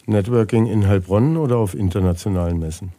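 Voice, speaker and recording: Low, mellow-voiced male podcast host. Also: a little fast. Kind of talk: casual chat and short questions.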